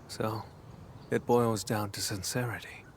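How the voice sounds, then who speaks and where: Low, slow, solemn game warrior. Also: male voice, steady tone, slight background noise. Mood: earnest. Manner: measured, formal, hushed and intimate. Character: brooding, solemn male warrior, earnest and devoted. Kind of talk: video game story dialogue acting.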